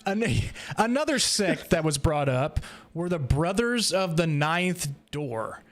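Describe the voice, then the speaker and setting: Man, somewhat high voice, podcast host, conversational, explanatory, casual roundtable talk. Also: very animated, measured pace. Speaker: podcast host leading the discussion.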